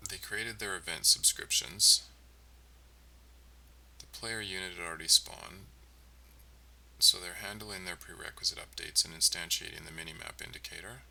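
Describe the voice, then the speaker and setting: Male developer streamer, halting think-aloud delivery, fry-heavy and very crisp-edged tone, keyboard clicks behind. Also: low voice, pushed and bright, recorded from a distance, rough recording. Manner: halting, muttering to himself. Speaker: game developer livestreaming. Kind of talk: casual thinking-aloud coding commentary. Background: typing and mouse clicking.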